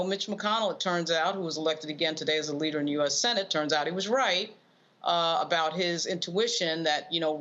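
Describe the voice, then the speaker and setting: A woman's voice: lowish voice, fairly bright tone, lo-fi sound. A woman giving off-the-cuff political analysis as a panel commentator, emphatic and repeating phrases for stress.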